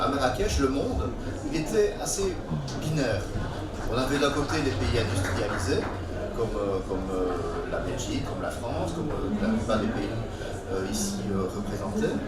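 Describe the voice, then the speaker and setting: A man's voice: mid-range voice, heavy background noise. A man who works as a climate negotiator, answering a question on a panel with many fillers. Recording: roomy hall from far off, crowd.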